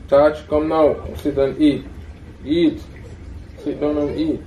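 A young man vlogging a family prank at home, calmly reassuring a child with short repeated phrases. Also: fairly high pitch, slow pace, recorded from a distance. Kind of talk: casual family prank vlog. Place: small apartment kitchen and living room. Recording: echoey room with background noise.

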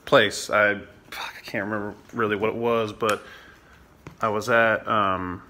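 A man in his thirties rambles through a casual vlog, peppering his talk with fillers and curse words. His voice sits a little low, with a bright, forceful edge. He is recorded close on a handheld phone, with a faint hiss behind him. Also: little pitch movement, a little slow. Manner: rambling, swears often, many fillers. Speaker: vlogger. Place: indoors at home, then outdoors on city streets and a trail. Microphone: phone camera held close at arm's length.

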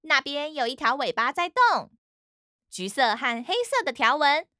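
High, lively young fox police officer. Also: female voice, moderate pace, background noise. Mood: curious, searching. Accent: Taiwanese Mandarin. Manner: animated, questioning, exaggerated reactions. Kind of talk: children's cartoon dubbing, addressing young viewers. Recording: music behind the voice.

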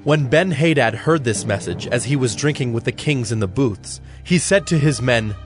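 A man narrating an audio Bible as a scripted, measured storyteller. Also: medium pitch, animated. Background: music.

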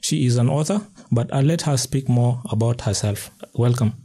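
A man's voice: medium pitch, normal speed, warm tone, breathy edge. A man hosting a casual studio interview, often giving short acknowledgements. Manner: gives frequent short acknowledgements. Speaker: podcast host. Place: small recording studio. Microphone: studio mic on boom arm.

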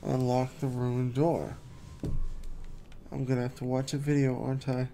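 A man's voice: medium pitch, flat delivery, normal speed, mellow, slight background noise. A young man giving casual, puzzled gameplay commentary, muttering to himself and poking fun at himself. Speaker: gameplay streamer.

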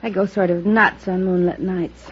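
A woman's voice: lowish voice, fairly even intonation, moderate pace, slightly rough. An actress playing a wistful, slightly tipsy leading lady in old-time radio drama style. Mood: wistful, playful. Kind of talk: 1950s radio drama acting. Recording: dull, noisy old radio recording.

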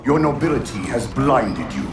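An actor playing an ancient, vengeful male alien warlord in a video game cutscene, speaking in slow, grandiose, scornful pronouncements with a deep, menacing rasp. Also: big pitch swings, music in the background. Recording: echoey distant voice over orchestral score.

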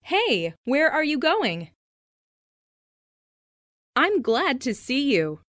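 Slow, lively woman reading phrases. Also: high-pitched, no echo, studio quality. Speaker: language lesson narrator. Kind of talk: scripted reading of English practice phrases.

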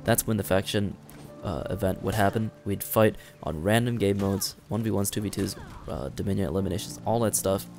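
A young man talking loosely over gameplay, his low voice worn raspy and gravelly from a long session. He rambles in an easygoing way, full of fillers and restarts, with faint music underneath. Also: fairly quick. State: raspy, strained voice. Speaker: gaming commentator. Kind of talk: casual commentary over gameplay. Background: faint background music.

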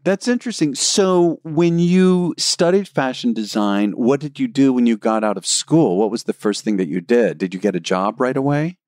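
An enthusiastic male podcast host leads an interview, reacting eagerly to each answer. His voice sits at a middle pitch. The sound is dry and close. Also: a little mellow, no room sound. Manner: enthusiastic, quick to react with "wow". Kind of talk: interview conversation.

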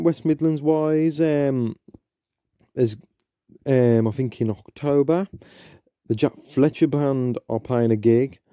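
A man's voice: fairly low pitch, dark tone, dry recording, clean studio sound. A young man hosting a podcast, chatting casually and hesitantly with many ums and restarts. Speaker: podcast host. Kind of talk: casual solo intro chat.

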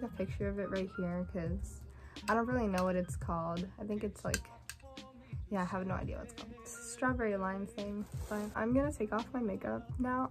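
Chatty teen girl vlogger, casual and rambling, close phone mic, soft music underneath. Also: mid-range voice, gravelly, low-quality recording. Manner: chatty, rambling, lots of "like" fillers. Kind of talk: casual day-in-the-life vlog. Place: small bedroom and home, indoors. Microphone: phone camera held close.

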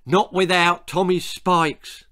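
A man voicing a desperate, tearful and indignant schoolboy runner in a storybook, wailing and protesting loudly. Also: sits high, fairly even intonation, slow, no room sound, clean studio sound, voice pushed up, exaggerated voice. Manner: wailing, whining, loud protests.

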